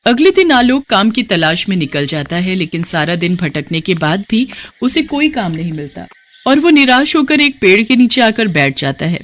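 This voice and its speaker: A woman's voice: mid-range voice, very animated, normal speed, a bit bright. A woman narrating a scripted cartoon moral story as its storyteller.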